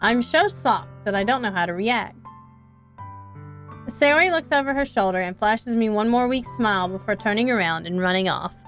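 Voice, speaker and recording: A young woman streamer reads a visual novel aloud in an expressive, earnest way into a close microphone. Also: medium pitch, normal speed, soft background music.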